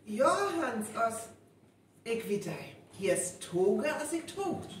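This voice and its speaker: A woman's voice: a little high, sing-song, slow. An actress with a North Frisian accent playing a woman in a folk-tale radio play performed live. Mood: earnest telling. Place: event venue, live stage recording. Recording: echoey hall, distant, faint noise.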